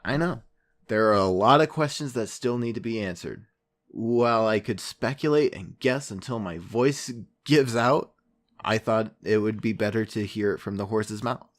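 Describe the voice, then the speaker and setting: A man playing an earnest, weary podcast investigator, narrating a true-crime case in a reflective, deliberate way with dramatic pauses. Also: fairly low pitch, natural voice. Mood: probing.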